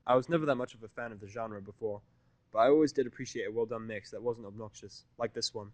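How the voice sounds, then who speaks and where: A man's voice: lowish voice, fairly even intonation, fast, no echo. A man narrating a scripted first-person story as an audio fiction reader.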